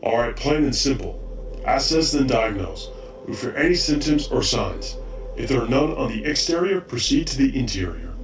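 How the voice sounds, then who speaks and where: A man's voice: medium pitch, expressive, moderate pace, close to an everyday voice. A man voicing a haughty, commanding robot chief medic who drills recruits in an amateur audio drama. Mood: brisk, instructive. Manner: stern, commanding, exacting. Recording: roomy, slightly distant mic, faint music.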